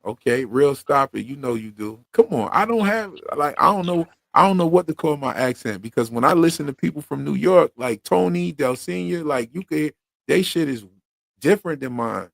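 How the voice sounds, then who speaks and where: A man with a Philadelphia accent hosts a relaxed livestream, talking in easy slang with lots of fillers. His mid-range voice carries a gravelly edge, and the sound is close and dry. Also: on the high side, normal speed, dry recording. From Pennsylvania. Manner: laid-back slangy talk with frequent fillers. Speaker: podcast host. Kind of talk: casual livestream chat.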